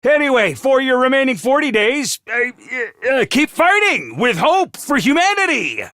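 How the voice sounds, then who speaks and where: An actor voicing a chipper, unhinged male academy announcer who gives bubbly announcements and bursts into gleeful shouting. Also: very high-pitched, very animated, measured pace, no echo, studio quality, heavily acted. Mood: cheery. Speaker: game character announcer. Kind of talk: video game voice lines.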